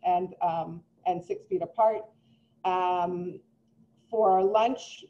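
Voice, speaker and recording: A woman's voice: medium pitch, warm tone. A middle-aged woman, a preschool director, earnestly reassuring parents at a town hall with frequent ums over a laptop microphone. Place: home room on a video call. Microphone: laptop mic on a video call. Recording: dull lo-fi video call audio.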